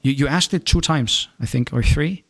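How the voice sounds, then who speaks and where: A young man from Denmark with a Danish accent, chatting casually while streaming a game and answering viewer questions, often stopping to restart his sentences. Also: mid-range voice. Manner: halting, restarts often, relaxed. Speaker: gaming streamer.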